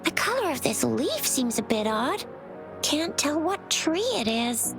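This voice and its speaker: A woman playing an energetic, cheeky young girl companion in a video game, bubbly and chatty. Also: sits high, measured pace, over music, full character voice. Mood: curious. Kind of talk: English video game voice acting.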